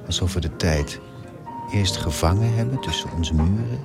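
A deep-voiced ageing hotelier reminisces over loud music. He is wistful and measured, telling his story as a radio drama monologue. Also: gravelly. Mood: wistful, reflective.

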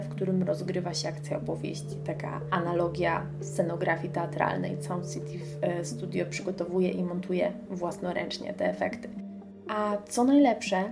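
A woman presenting a radio feature as host, speaking enthusiastically and addressing her listeners directly. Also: medium pitch.

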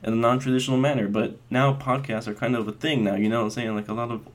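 Brief: young male podcast host in his twenties, mid-pitched voice, earnest and rambling, casual solo monologue. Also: level tone, quick pace. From Las Vegas, US. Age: 20s. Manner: earnest, rambling, many fillers and restarts.

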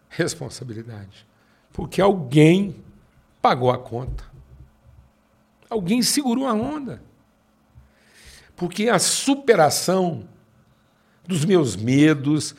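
An older man with a Brazilian accent, speaking a little higher than most men, with a gravelly, husky voice. He is a pastor recounting his life in an unhurried talk, and he leans hard on key phrases, drawing them out for emphasis. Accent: Brazilian Portuguese. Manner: emphatic, slows down to stress points. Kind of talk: personal storytelling in conversation.

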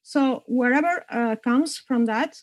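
A woman composer from Cuba answering interview questions thoughtfully, pausing often and searching for words. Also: high-pitched, no room sound. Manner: halting, searching for words, frequent hesitations. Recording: dull lo-fi dry sound.

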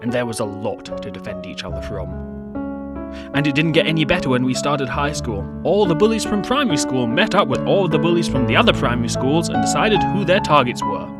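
A man's voice: on the high side, lively intonation, a little fast, close to an everyday voice. A man playing a bullied, loyal teenage outsider, narrating a wry, confiding monologue in an audio drama. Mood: wry, earnest. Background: piano music.

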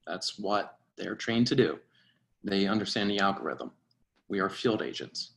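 A man acting a dry, by-the-book surveillance agent and mentor in a screenplay reading, with a measured, instructive delivery. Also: fairly low pitch, vocal fry, a bit bright. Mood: insistent.

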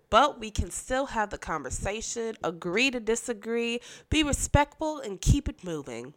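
A young woman hosts her own political podcast in a casual, blunt voice with plenty of attitude. She is stuffed up from a cold, so her voice comes out nasal and congested. Her pitch sits in an ordinary middle range. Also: bright, forceful tone. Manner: blunt, sassy, emphatic. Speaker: podcast host.

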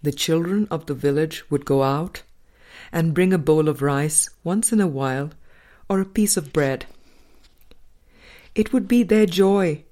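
A woman with a low-pitched voice reads a spiritual audiobook. Her delivery is slow and deliberate, with pauses between phrases. The tone is soft-edged and mellow. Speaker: audiobook reader. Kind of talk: scripted spiritual audiobook narration.

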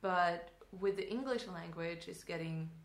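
Swedish woman with a low, echoey voice. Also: a little slow. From Sweden. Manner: thoughtful, with restarts and laughing asides. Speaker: acting student. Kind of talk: casual interview chat.

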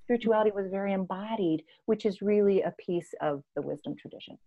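A woman in her fifties from East Africa, an interview guest telling reflective personal stories in an expressive, emphatic way. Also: mid-range voice, quick pace, phone-quality sound. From born and raised in East Africa.

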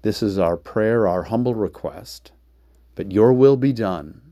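Slow-talking American man, dry close sound. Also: lowish voice, warm tone, no echo. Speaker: preacher, podcast host. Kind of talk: podcast sermon introduction and prayer.

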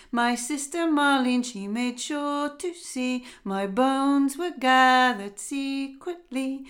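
A woman voicing an eerie singing bird, the spirit of a murdered boy, in a slow sing-song chant. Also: high-pitched, voice pushed up.

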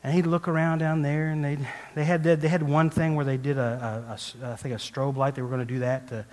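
A man's voice: on the high side, little pitch movement, a little fast, a little mellow. A man preaching a conversational sermon as a guest speaker, telling a personal story in a rambling, self-deprecating way with frequent restarts and laughs. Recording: long hall reverb.

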